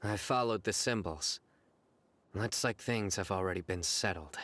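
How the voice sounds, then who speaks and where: A man's voice: fairly low pitch, measured pace, fairly bright tone, no room sound, studio quality, soft background music. A man playing a stern, composed general of law enforcement in a video game, serious and formal.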